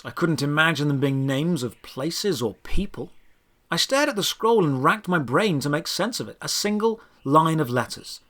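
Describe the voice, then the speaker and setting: A man's voice: mid-range voice, fairly bright tone. A man narrating a scripted first-person fantasy audio drama in a dramatic storytelling manner.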